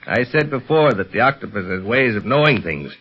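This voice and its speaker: An American actor playing an earnest, commanding secret police chief in a 1930s radio serial, formal and deliberate in delivery. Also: male voice, on the low side, expressive, breathy edge. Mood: earnest, urgent. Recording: dull, band-limited 1930s radio recording.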